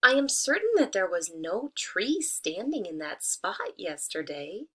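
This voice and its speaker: A woman voicing a wary, clever hen in a children's storybook, speaking in a measured, skeptical way. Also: medium pitch, big pitch swings, slightly rough. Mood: puzzled, wary. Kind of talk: children's storybook read-aloud.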